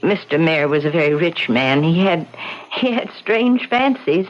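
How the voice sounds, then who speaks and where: An actress playing an anxious, deferential housekeeper in an old radio drama, speaking politely and hesitantly. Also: female voice, low-pitched, animated, measured pace. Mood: nervous, deferential. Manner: hesitant, polite, fussing, trailing off. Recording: muffled, hissy old radio drama recording.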